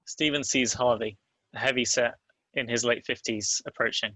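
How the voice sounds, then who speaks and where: A man reads out script directions as narrator. His voice is mid-pitched, bright and forward, recorded dry with almost no room sound. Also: steady tone, moderate pace, no room sound, rough recording. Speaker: narrator reading stage directions. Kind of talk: scripted narration at table read.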